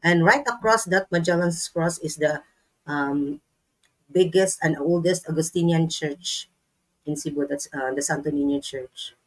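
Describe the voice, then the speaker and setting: Woman, Filipino accent, low pitch, casual interview guest, laughs often. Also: a little slow, poor audio. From Philippines. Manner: chatty, laughs often, searches for words. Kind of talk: casual live-stream interview.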